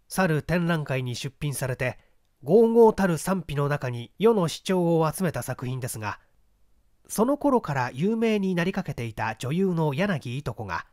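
Lively-pitched man reading mystery fiction. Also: no echo, studio quality. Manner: measured storytelling with formal literary phrasing. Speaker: literary narrator, stage performer.

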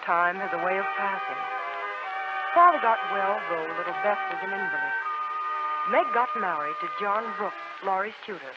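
A woman's voice: medium pitch, moderate pace, loud music behind the voice, lightly acted. A woman playing a spirited, impulsive young sister in 1940s radio acting. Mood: narrating. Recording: noisy, dull 1940s radio recording.

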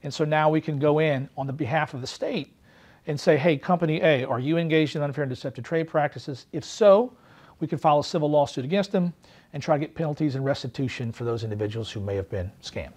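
A man in his forties answers interview questions in a careful, official way, his voice slightly high for a man. He is recorded in an office lined with bookshelves. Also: quick pace, darkish tone. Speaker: state consumer protection official. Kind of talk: sit-down news interview.

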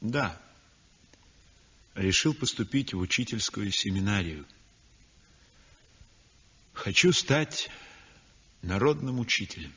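An actor playing a weak, convalescent young male painter who narrates his story in a 1960s radio drama, speaking softly and breathily. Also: fairly low pitch, lively intonation, takes it slowly, long pauses, husky, exaggerated voice. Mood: calm. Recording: dull old radio recording with long reverb.

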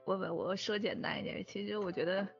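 A woman with a medium-pitched voice chats informally, grumbling in a playful way. The audio is dull, like an online voice call, with faint music behind. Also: steady tone, a little fast. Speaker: drama production staff member. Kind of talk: casual group chat.

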